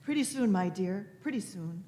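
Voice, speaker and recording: A woman's voice: mid-range voice, lively intonation, moderate pace, dark tone, lightly acted. A woman playing a testy, patronising elderly time traveler in a sci-fi radio play, fussy and condescending. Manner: fussy, condescending, repeats phrases.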